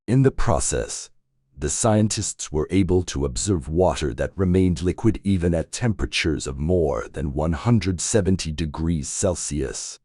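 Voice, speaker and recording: A man's voice: extremely low pitch, a little mellow, dry recording, studio quality. A man reading scripted practice passages aloud in a test-preparation lesson.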